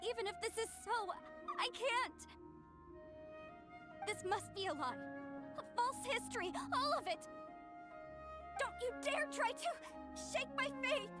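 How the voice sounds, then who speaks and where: Devout young woman, extremely high pitch, distraught, emphatic, defensive, video game, music clearly behind. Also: animated, takes it slowly, pauses often, exaggerated voice. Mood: distraught, defiant. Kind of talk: scripted video game character acting.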